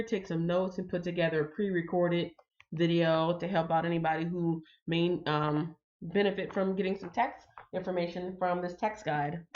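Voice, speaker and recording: Woman, livestream host, low voice, slightly stuffy, chatty with ums, dull lo-fi sound. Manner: chatty, reads out viewer comments, frequent ums. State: slightly congested. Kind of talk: casual livestream chat.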